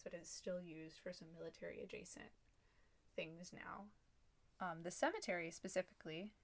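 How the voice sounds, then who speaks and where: A young adult woman co-hosting a casual podcast, recounting history from her notes with small restarts and asides. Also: lowish voice. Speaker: podcast co-host. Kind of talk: casual chat, then telling a researched history.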